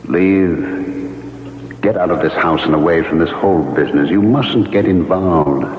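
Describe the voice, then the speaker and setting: An actor playing a courtly, melancholy ghost in an old-time radio mystery, speaking formally, gently and earnestly. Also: male voice, low voice, a little slow. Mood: grave, urgent. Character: courtly, melancholy invisible male ghost in love. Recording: echoey, narrowband, hissy old radio broadcast.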